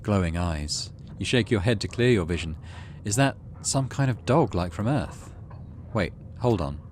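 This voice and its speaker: A man's voice: lowish voice, lively intonation, measured pace, a little mellow. A man hosting a let's play, commenting on a game and reading its text aloud. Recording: clear background noise.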